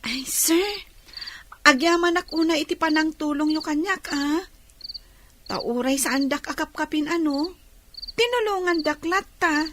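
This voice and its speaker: A woman's voice: sits high, a little slow, pauses often, noisy background. A woman playing a humble, grateful young blind housemaid in an audio drama. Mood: shy, grateful.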